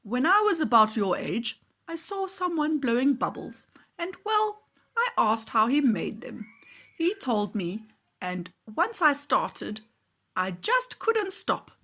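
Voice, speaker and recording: Woman as a kindly, animated storybook man. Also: high voice, slow, pitched above the performer's own voice, full character voice. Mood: friendly, reminiscing. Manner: friendly, encouraging, playful. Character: kindly, mysterious bubble-blowing man, friendly to children.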